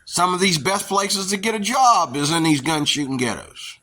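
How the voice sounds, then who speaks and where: Bright-voiced rambling man with Pittsburgh accent. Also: high voice, moderate pace. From near Pittsburgh, USA. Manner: rambling, repetitive, emphatic. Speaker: vlogger. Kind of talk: casual monologue rant.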